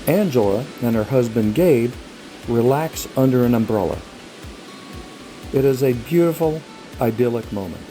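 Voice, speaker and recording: A male narrator reads scripted scene directions for a film table read. His voice sits at a middle pitch, with faint music and effects underneath. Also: animated, moderate pace, long pauses, mellow.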